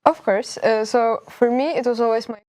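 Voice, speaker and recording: A woman's voice: fairly high pitch, moderate pace, a little mellow. A young woman from Poland, a university student guest, explaining things eagerly in casual chat. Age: young adult.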